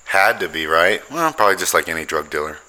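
A man's voice: expressive, fast talker, background noise. A man co-hosting a podcast in loose, joking casual chat, swearing freely. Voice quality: deep voice. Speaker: podcast co-host.

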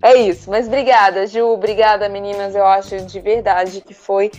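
A woman's voice: medium pitch, level tone, moderate pace, a little mellow. Woman architect, informal and chatty, faint music behind. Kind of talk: casual podcast chat. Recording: dull lo-fi sound with faint music.

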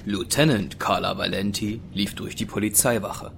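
An actor narrating a crime audio drama as a sober storyteller. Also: male voice, fairly low pitch, fairly even intonation, moderate pace, creaky, a bit bright, a little hiss or hum.

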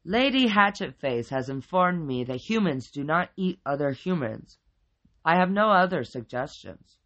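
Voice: medium pitch, lively intonation, a little slow, fairly bright tone, lo-fi sound, voice pushed up. A storyteller voicing an enormous monster who speaks in a stilted, formal and helpful manner. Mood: matter-of-fact. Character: huge formal two-named monster with paws.

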